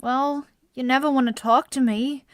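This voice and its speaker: A woman's voice: sits high, steady tone, moderate pace, no room sound, natural voice. A woman playing a coy, teasing young woman. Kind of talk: vintage radio play acting.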